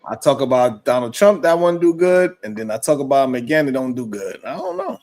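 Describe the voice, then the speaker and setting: Slangy, laughing man with a lively voice. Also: medium pitch, fairly quick, dry recording, clean studio sound. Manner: laughs often, loose slangy storytelling. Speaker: live stream host. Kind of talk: casual chat.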